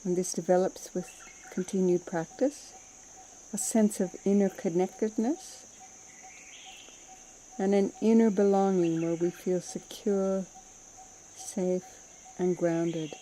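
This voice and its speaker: A woman meditation teacher leading a guided mindfulness practice in a soothing, unhurried manner, with gentle pauses between phrases. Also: fairly low pitch, steady tone, a little hiss or hum.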